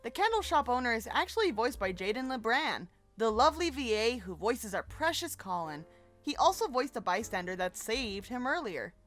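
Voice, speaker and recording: A woman's voice: a little high, sing-song, moderate pace, a bit bright, soft background music. A woman co-hosting a fan breakdown, giving recap commentary in a playful, jokey way. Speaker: co-host of a fan breakdown show.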